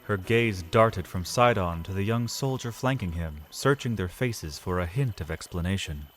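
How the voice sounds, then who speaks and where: A man narrating a scripted fantasy audio drama, solemn and measured, with deliberate pauses. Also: deep voice, animated, faint music behind.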